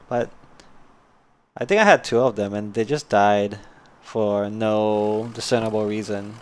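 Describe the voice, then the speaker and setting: A man's voice: lowish voice, creaky, slight background noise. A man streaming a story game, chatting casually, laughing at his own jokes and reading game text aloud.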